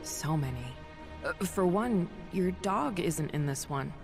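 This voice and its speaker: A woman playing a calm, kind, wry superhero doctor in a video game, gentle and teasing in natural dialogue. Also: low voice, a little slow, creaky, over music, full character voice. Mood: matter-of-fact.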